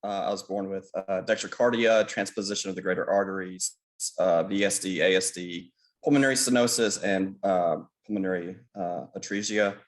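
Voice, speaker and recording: A man in his late thirties introducing himself informally as a panelist, in a halting way. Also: low voice, steady tone. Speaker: patient panelist.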